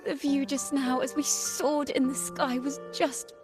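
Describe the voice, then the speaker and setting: A woman's voice: high-pitched, little pitch movement, a little slow, over music. An actress playing a poised young woman bard in a video game, encouraging and poetic in manner. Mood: curious.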